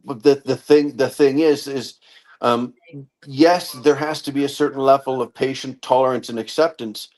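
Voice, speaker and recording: Casting: middle-aged man, mid-pitched, loose rambling talker who swears and circles back on his points. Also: steady tone, normal speed, darkish tone. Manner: rambling, profane, trails off and restarts. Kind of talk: casual chat.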